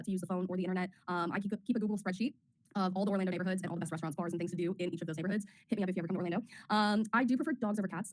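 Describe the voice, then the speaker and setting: A woman in her thirties hosting a webinar in a bubbly, chatty way, her voice slightly raspy as she gets over a cold. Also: mid-range voice. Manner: bubbly, chatty, many ums. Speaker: webinar host, marketing officer. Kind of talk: casual presenting on a webinar.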